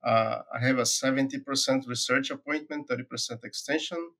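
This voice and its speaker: Brazilian-accented man, halting and thoughtful. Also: mid-range voice, fairly even intonation, fairly bright tone. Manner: thoughtful, many "uh" fillers and repeated words. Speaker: forage agronomy professor, guest. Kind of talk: conversational interview answers.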